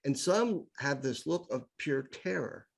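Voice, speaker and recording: A man's voice: mid-range voice, expressive, measured pace, creaky. A middle-aged man, a podcast guest, telling stories with enthusiasm and often repeating words as he builds his point. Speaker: podcast guest, improv speaker. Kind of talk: casual conversational storytelling.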